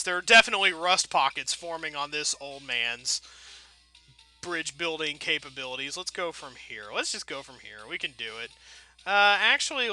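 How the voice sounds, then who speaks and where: Bright, high-voiced man doing game commentary. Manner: rambling, playful, folksy mild exclamations. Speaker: gameplay video host.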